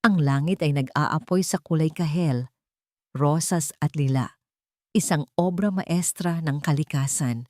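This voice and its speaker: Woman, story narrator, dramatic storytelling, dry close sound, lower pitch. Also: unhurried, darkish tone, dry recording. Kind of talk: scripted romance story narration.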